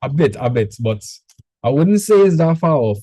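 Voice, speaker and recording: A man with a Trinidadian accent tutoring a student in math over a video call, relaxed and chatty. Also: medium pitch, normal speed, no room sound, studio quality. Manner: relaxed, chatty, repeats words when starting. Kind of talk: casual online tutoring session.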